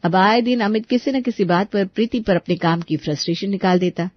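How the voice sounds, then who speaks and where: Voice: mid-range voice, a little fast. A storyteller narrating a dramatic domestic bedtime story. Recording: dull lo-fi recording.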